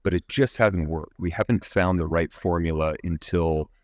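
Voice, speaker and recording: A man with an extremely low voice speaks in a close, dry recording. He answers interview questions as an executive, explaining engineering in measured, technical language that often halts mid-phrase. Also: no echo.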